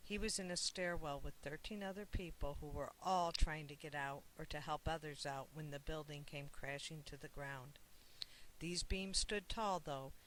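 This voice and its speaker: A reader voicing a gentle, reassuring mother of a young boy in a children's storybook. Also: medium pitch, slight background noise, lightly acted, low-quality recording.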